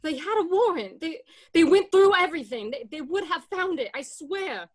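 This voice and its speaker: A woman's voice: very high-pitched, animated, moderate pace. A woman playing a mobster's anxious, defensive wife in an amateur read of a crime film script. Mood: defensive, frantic.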